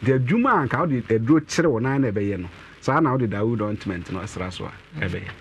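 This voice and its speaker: A Ghanaian man in his thirties, an herbal medicine representative, explaining with animated gestures in a studio interview. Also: mid-range voice, a little fast, slight background noise. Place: TV and radio studio.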